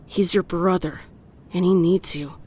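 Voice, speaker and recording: Woman playing low-voiced, firm young fox. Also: steady tone, a little slow, a little mellow. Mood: firm, insistent. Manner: earnest, firm, reassuring. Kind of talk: audio drama acting.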